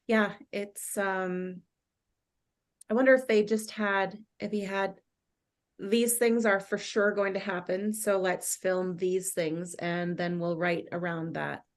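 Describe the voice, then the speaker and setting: A woman's voice: medium pitch, fairly even intonation, slow. A woman in a casual group discussion, thinking aloud in a tentative way. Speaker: discussion participant.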